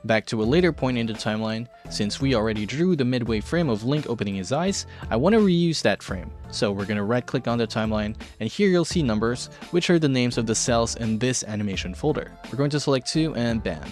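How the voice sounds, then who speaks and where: A man with a mid-pitched voice narrates a casual software and drawing tutorial. He is chatty and informal, with a self-deprecating streak, talking like the host of an online art show. Music plays behind his voice. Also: lively intonation, brisk, few pauses. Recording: background music under voice.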